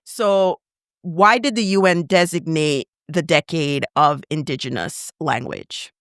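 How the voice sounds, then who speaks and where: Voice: medium pitch, measured pace, fairly bright tone, no echo, studio quality. A podcast host chatting enthusiastically and asking a guest questions. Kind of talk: casual interview talk.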